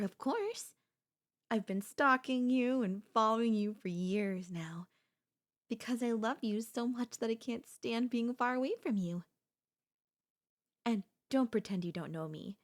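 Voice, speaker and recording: A woman's voice: medium pitch, close to an everyday voice. A woman playing an obsessive, doting yandere stalker in an ASMR audio roleplay, speaking in a coaxing, theatrical way. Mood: offended, adoring. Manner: theatrical, coaxing, addresses listener as darling. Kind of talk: ASMR audio roleplay, girlfriend-style monologue.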